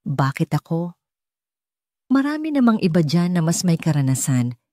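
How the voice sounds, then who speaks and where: A woman's voice: lowish voice, big pitch swings, moderate pace, warm tone, no room sound, clean studio sound. A woman with a Filipino accent voicing a modest, hesitant female librarian in an audiobook. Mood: shy, hesitant. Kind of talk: audiobook character dialogue.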